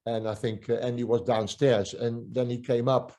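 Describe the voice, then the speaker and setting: An older man, a musician guest telling stories in a casual interview, halting with many restarts and fillers. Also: mid-range voice, flat delivery, mellow. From Netherlands.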